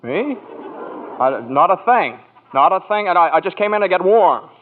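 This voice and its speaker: A man's voice: high-pitched, big pitch swings, normal speed. An actor playing a frustrated, idealistic young small-town man in 1940s radio drama, with hesitant, stammering, folksy delivery. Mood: flustered, irritated. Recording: tinny, band-limited vintage radio broadcast.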